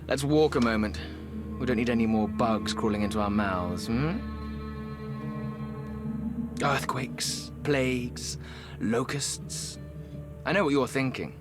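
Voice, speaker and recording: A man's voice: medium pitch, takes it slowly, lightly acted. A man voicing a jaded, decadent ancient mummy in a video game, with a wry, languid, theatrical delivery. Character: jaded, vain, decadent ancient male mummy in expensive suit. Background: music.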